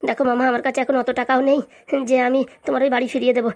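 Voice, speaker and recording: A woman's voice: high voice, level tone, rapid-fire, darkish tone. A woman voicing a kind, forgiving nephew in a comic cartoon, earnest and reassuring.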